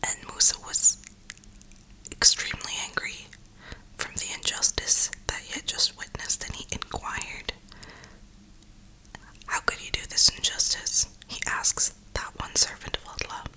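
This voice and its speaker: A woman whispering a reflective, rambling ASMR monologue in a breathy, unhurried way, with drawn-out pauses. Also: bright, forceful tone, low-quality recording. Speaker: ASMR video creator.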